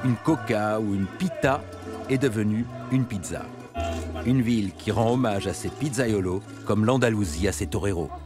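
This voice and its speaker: A man reads a documentary voice-over in a measured, scripted delivery. His voice sits on the low side. Music plays clearly underneath. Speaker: documentary narrator. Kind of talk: scripted narration.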